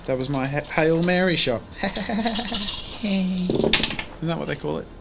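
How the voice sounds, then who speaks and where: A man chatting casually as a player at a tabletop game, animated and exclamatory. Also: on the high side, slow, vocal fry, heavy background noise.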